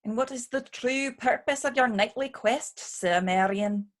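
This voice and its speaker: A woman's voice: on the high side, measured pace, lightly acted. A woman playing a sassy, sarcastic lady's maid, loyal but teasing, in a radio play. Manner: sarcastic, needling.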